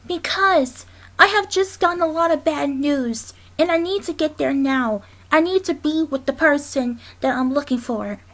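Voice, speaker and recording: A performer voicing a young male fox, a gentle, anxious friend, speaking haltingly and earnestly in a dramatic audio roleplay. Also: high-pitched. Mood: anxious, urgent. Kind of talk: dramatic ASMR roleplay acting.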